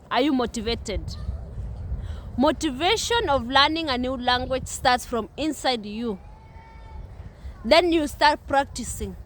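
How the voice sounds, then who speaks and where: A young woman from Kenya with a Kenyan English accent, vlogging outdoors at a playground in an earnest, repetitive way with many restarts. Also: high voice. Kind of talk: casual talk to camera.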